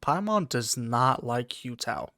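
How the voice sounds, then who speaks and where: Very low-voiced woman gamer, blunt. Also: animated, slow, fairly bright tone, slight background noise. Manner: blunt, sweary, wry. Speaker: gamer playing and reacting. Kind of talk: casual game commentary.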